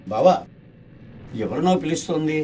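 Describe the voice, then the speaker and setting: Pompous creepy villain in a radio play, pushy, theatrical, kinda high and distant. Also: male voice, sing-song, normal speed, warm tone, soft background music. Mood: excited, urgent. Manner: theatrical, self-important, insistent. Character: boastful, foolish, lecherous villain chasing a woman. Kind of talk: radio play acting in Telugu.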